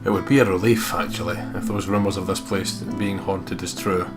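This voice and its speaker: A man co-hosting a let's play, reading the game's text as expressive narration and adding casual commentary. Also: deep voice, fairly quick. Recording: game music underneath.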